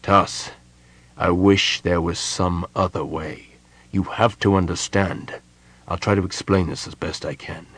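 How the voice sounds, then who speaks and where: A man voicing a stern, patient male mage who explains things gravely and earnestly in a storybook reading. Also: sits low, fairly even intonation, fairly bright tone, no room sound, voice pushed down, full character voice. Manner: measured, serious, explanatory.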